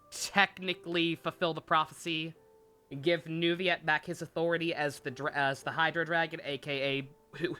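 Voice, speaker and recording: A woman gives off-the-cuff game stream commentary. The voice is a little low but bright and punchy. The talk is sardonic, sweary and rambling, with light game music underneath. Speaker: game livestreamer. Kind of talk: casual live stream commentary and recap.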